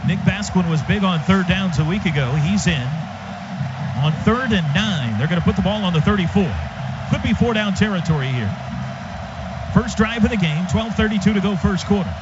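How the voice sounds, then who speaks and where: A man's voice: high voice, animated, moderate pace. A man calling football live on radio as play-by-play announcer, in clipped, urgent phrases, from a stadium booth. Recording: dull radio feed over stadium noise. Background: stadium ambience.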